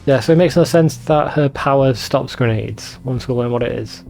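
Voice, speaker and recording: A man's voice: mid-range voice, normal speed, creaky, a little mellow, faint music behind. A man giving casual, sweary commentary over a video game in an informal, bemused way. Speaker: let's play video host.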